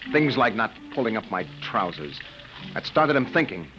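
A man's voice: fairly low pitch, very animated, moderate pace, over music, poor audio. A man playing a tense, defensive young husband on the run, curt and indignant, in old-time radio drama style. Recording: vintage radio recording.

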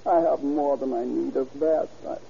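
An actress playing an affected, melodramatic film diva, grandiose and self-pitying, in old-time radio style. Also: female voice, extremely low pitch, fairly even intonation, normal speed, creaky. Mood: lovelorn, melodramatic. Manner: grandiose, theatrical, sighing. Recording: muffled vintage radio with faint noise.

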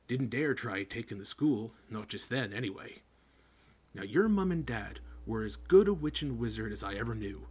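A man reading an audiobook, voicing a big rough-spoken groundskeeper who talks in homely, clipped speech. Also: mid-range voice, darkish tone. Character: big rough-spoken male groundskeeper, gruff but kind. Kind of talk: audiobook character voice.

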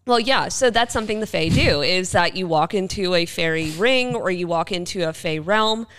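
Smooth, lowish-voiced woman chatting on podcast. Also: animated, moderate pace, a bit bright. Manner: informal, chatty, explanatory. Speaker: podcast co-host. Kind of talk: casual chat answering listener questions.

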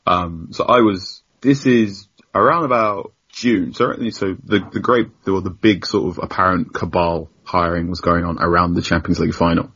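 A young man with a deep, husky voice tells stories as a podcast guest. He talks animatedly, laughs often and acts out what people said. The sound is dull and lo-fi. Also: fairly even intonation, normal speed, few pauses, a bit bright. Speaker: guest journalist. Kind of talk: casual podcast conversation.

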